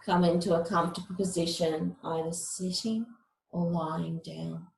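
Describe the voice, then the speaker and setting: A woman teaching meditation, giving guided relaxation instructions in a calm, measured, soothing manner. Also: lowish voice, gravelly, reverberant, a little hiss or hum, low-quality recording.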